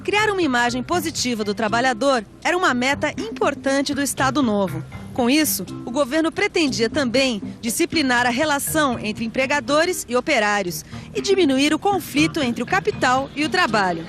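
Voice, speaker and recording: A woman's voice: high voice, expressive, moderate pace, music in the background. A Brazilian woman presenting scripted educational narration.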